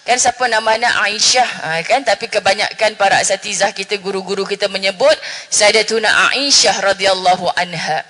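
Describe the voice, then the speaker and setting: A woman's voice: medium pitch, fairly quick, sharp tone. A middle-aged woman with a northern Malaysian Malay accent, giving a chatty religious forum talk and joking with the audience. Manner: chatty, jokes with the audience, Arabic phrases. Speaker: religious speaker. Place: mosque hall. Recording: long hall reverb.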